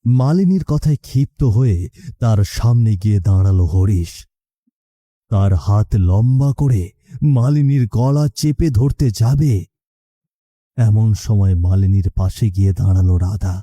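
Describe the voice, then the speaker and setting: A man's voice: lowish voice, measured pace, mellow, no room sound, studio quality. A man narrating a scripted story in a dramatic storytelling style.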